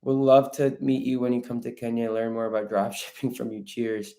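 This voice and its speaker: A man's voice: mid-range voice, little pitch movement, brisk, creaky, dark tone. A man hosting a livestream, answering viewer questions in a casual, coaching style and chatting informally with his audience. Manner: informal, addresses viewers as bro and guys. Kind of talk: casual live Q&A, coaching online sellers.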